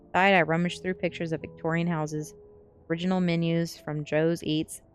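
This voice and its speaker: A woman's voice: on the low side, little pitch movement, normal speed, soft background music. A woman streamer reading a book ASMR style and chatting casually with viewers in a playful way. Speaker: VTuber streamer.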